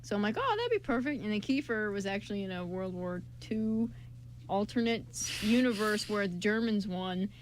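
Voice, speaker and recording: A woman's voice: mid-range voice, expressive, normal speed, rough recording. A woman co-hosting an amateur radio show in casual, informal, rambling chat. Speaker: radio show co-host and writer. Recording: amateur recording with background noise.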